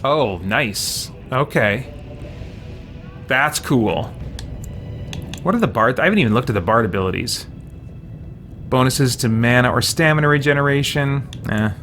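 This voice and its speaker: A man's voice: mid-range voice, animated, unhurried, pauses often, a bit bright. A man hosting a gaming stream, chatting casually and thinking aloud as he plays and answers viewers. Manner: thinking aloud, musing to himself. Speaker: host of a gaming let's play stream. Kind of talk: casual live gameplay commentary, answering viewer questions. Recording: game music behind the voice. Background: video game music.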